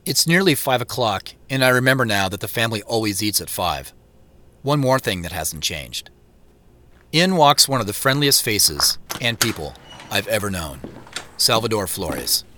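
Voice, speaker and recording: A man's voice: lowish voice, very animated, normal speed, pushed and bright, dry recording. An actor playing a reflective man narrating his own story in a first-person audio drama. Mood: nostalgic. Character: Joseph, reflective male narrator returning to old home.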